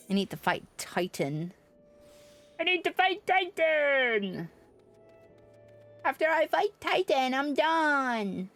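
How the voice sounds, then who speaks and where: High-voiced woman streaming a video game. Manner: expressive, exclaiming, playful. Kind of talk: casual live gameplay chat and commentary. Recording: game music behind the voice. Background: video game music.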